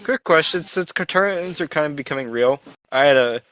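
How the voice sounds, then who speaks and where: A man's voice: a little high, moderate pace, fairly bright tone, no echo. A man chatting casually as a tabletop game player, rambling and circling back over his sentences.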